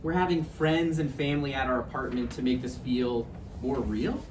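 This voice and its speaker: A nervous, eager-to-please boyfriend played by a woman in a play reading, with hesitant, conversational delivery. The voice is low and heard from a distance in a roomy space with faint noise. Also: steady tone, moderate pace. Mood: playful. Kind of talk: table read of a stage play.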